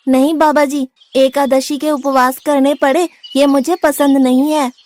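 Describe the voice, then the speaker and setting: A woman's voice: sits high, flat delivery, measured pace, darkish tone. A woman voicing a naive, stubborn young male disciple who loves food, speaking bluntly and indignantly in a cartoon story. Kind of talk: Hindi cartoon story dubbing.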